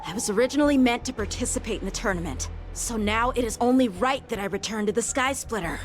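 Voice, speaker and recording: A woman's voice: fairly high pitch, expressive, fast, faint music behind, lightly acted. A woman voicing an earnest, formal young male swordsman and lieutenant in an anime-style game. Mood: earnest resolve.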